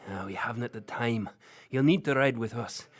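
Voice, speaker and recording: A stern fantasy general played by a man, speaking at a middle pitch. He is formal and commanding, with gruff impatience in how he gives orders. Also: brisk, lightly acted. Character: stern, commanding, exasperated male army general. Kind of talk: fantasy audio drama acting.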